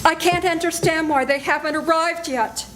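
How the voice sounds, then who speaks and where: An older woman playing a very high, anxious wife. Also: fairly even intonation, moderate pace, a bit bright, slight background noise. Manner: anxious and pleading. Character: frightened wife, Geraldine Reeves. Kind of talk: amateur live radio-play acting.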